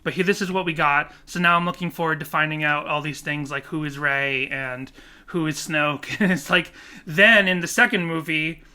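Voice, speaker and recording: Male podcast co-host, bright, slightly high voice, animated rambler. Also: moderate pace, clean tone. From Korea. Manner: animated, laughs often, rambling restarts. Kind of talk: casual chat.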